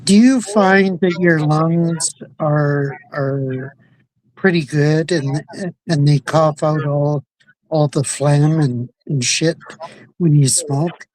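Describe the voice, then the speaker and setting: A man from Canada chatting casually as a livestream co-host, halting and repeating his words. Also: a little high, fairly even intonation, a little mellow, dry recording, rough recording. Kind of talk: casual chat.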